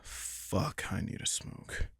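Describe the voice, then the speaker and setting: A man playing a possessive, sardonic vampire king in an intimate roleplay audio drama, teasing and condescending with a husky, breathy edge. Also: slow, a bit bright, no echo, clean studio sound. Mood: weary, relaxed. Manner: teasing, condescending, casually profane.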